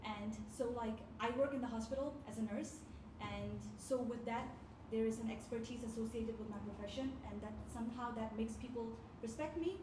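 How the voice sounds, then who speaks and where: Female panelist, measured and reflective, distant in a noisy echoey hall. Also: on the high side. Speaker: nurse and panelist. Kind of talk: forum panel discussion. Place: community forum hall.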